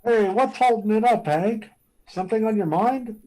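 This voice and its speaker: A man playing a daring, steady test pilot given to excitement, in radio drama style. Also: high-pitched, normal speed, natural voice, rough recording. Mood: impatient.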